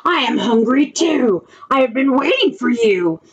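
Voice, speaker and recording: A woman voicing a mean, greedy, hungry male troll in a children's story, with loud, drawn-out threats. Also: a little high, expressive, husky, highs cut off, pitched above the performer's own voice. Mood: menacing, shouting.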